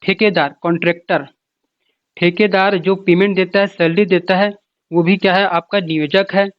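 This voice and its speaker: A man's voice: high-pitched, fairly even intonation, normal speed, dry recording. A man giving an informal explanatory lecture as an exam coach, repeating points and asking then answering his own questions. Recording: dull lo-fi sound.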